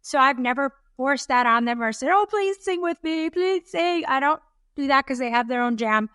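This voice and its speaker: A woman's voice: high-pitched, animated, moderate pace, bright, forceful tone, dry recording, clean studio sound. A woman in her fifties co-hosting a podcast, chatting casually and encouraging listeners. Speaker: podcast co-host. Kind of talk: casual chat.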